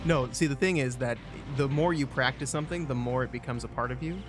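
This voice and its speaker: A man's voice: mid-range voice, fast talker. A man co-hosting a game livestream in casual chat, rambling and restarting his sentences. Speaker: livestream co-host. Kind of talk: casual chat over gameplay. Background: game music.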